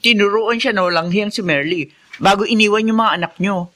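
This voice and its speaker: A woman's voice: mid-range voice, brisk, pushed and bright, lightly acted. A woman playing a spiteful, irritable partner in a Filipino radio drama, complaining with snappish scorn. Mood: scornful.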